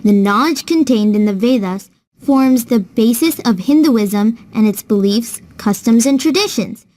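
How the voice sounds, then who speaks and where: Woman as high-voiced, patient big sister. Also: a little slow, a little mellow, natural voice. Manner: patient, clear explaining. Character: patient, kindly, knowledgeable older sister teaching a child. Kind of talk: scripted children's educational storytelling.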